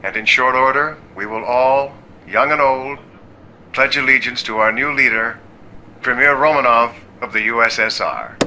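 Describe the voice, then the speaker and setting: An actor playing a solemn male orator giving a measured, declamatory formal address in a video game. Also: medium pitch, bright, forceful tone, a little hiss or hum, rough recording. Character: solemn male orator proclaiming allegiance to a dictator.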